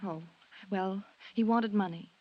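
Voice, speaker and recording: A woman's voice: medium pitch, measured pace, close to an everyday voice. An actress playing an anxious, guilty married woman with pleading, dramatic delivery in a 1950s radio drama. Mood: defensive, confessing. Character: anxious, guilty society wife hiding secrets. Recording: dry, muffled old radio recording.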